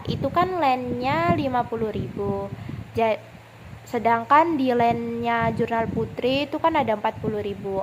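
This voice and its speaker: A young woman, explaining step by step in a student presentation, informally and haltingly, repeating and correcting herself. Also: a little high. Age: young adult. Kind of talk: explaining accounting exercise in a presentation. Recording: noisy amateur recording with clicks.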